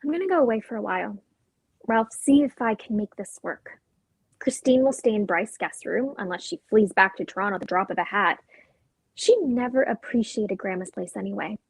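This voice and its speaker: A woman's voice: mid-range voice, moderate pace, gravelly, husky, no room sound, rough recording. A woman playing a guarded, conflicted sister, earnest and defensive, in a dramatic play reading. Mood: hurt, guarded.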